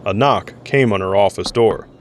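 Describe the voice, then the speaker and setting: A man's voice: fairly low pitch, normal speed, a little hiss or hum. A man narrating a scripted audio drama in the role of storyteller.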